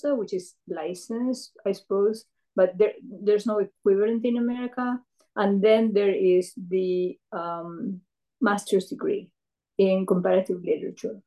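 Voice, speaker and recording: An older woman from Peru, a retired professor, recalling her career in an oral history interview, speaking thoughtfully with many pauses and fillers. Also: medium pitch, dark tone, low-quality recording.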